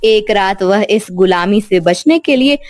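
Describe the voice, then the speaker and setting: A woman narrating a scripted children's moral story in an expressive storytelling style. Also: mid-range voice, a little slow, dry recording.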